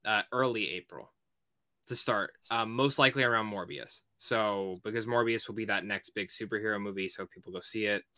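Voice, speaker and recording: A man's voice: on the low side, a little fast, pushed and bright, no room sound. A man co-hosting a podcast, chatting casually and informally about movies. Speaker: podcast co-host.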